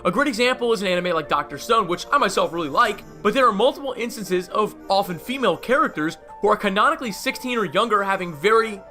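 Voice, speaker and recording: A man's voice: sits high, animated, fast, few pauses, pushed and bright. A man narrating a scripted video essay, with casual asides, over music. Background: faint music.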